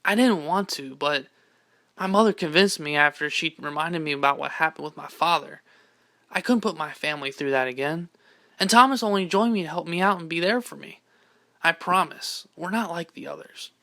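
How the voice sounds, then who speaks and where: A man's voice: on the high side, normal speed, sharp tone. A man playing an earnest teenage boy in an amateur audio drama. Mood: earnest, pleading. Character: earnest teenage boy, a swing kid forced into uniform.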